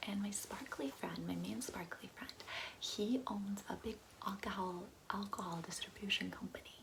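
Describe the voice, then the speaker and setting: A woman whispering a soft-spoken ASMR roleplay as a ditzy, materialistic class instructor, breathy and chatty with girlish, rambling asides. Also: medium pitch, normal speed, slight background noise, low-quality recording. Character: ditzy, materialistic gold-digger giving a class.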